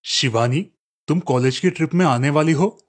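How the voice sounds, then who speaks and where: Man voicing a calm cartoon college teacher. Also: fairly high pitch, normal speed, lightly acted. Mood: businesslike. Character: male college teacher, calm and matter-of-fact.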